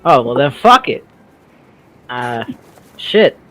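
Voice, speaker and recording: A man's voice: mid-range voice, big pitch swings, takes it slowly, fairly bright tone. A man playing an awkward, earnest young sidekick in a tabletop audio drama, hesitant and rambling as he talks. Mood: hopeful, rambling. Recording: music behind the voice.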